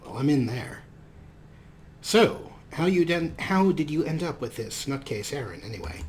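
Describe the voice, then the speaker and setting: A man's voice: on the high side, big pitch swings, normal speed, a little mellow. A man hosting a let's play, giving sarcastic, playful commentary and role-playing his character. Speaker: host.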